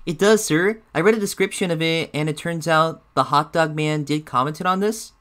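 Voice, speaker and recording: A man's voice: a little high, normal speed, fairly bright tone, lightly acted. A man voicing a deferential, slightly unsure shadow bear sidekick who gives reaction commentary. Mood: eager, puzzled. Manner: deferential, hesitant, obliging.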